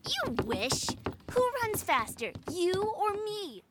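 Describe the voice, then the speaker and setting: A woman's voice: extremely high pitch, sing-song, takes it slowly, very noisy, full character voice. A woman playing a playful, teasing schoolgirl in a family audio drama.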